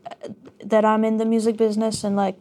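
A woman from Armenia, a guest singer talking casually in a radio studio, hesitant with many pauses and ums. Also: on the high side, monotone, mellow. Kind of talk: casual interview.

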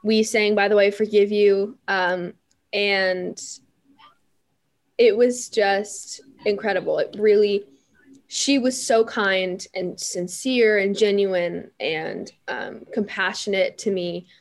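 A teenage girl, a young musician, telling stories in an interview in a chatty, animated way with many fillers and restarts. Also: mid-range voice, slow. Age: teens. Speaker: guest musician. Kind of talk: interview storytelling.

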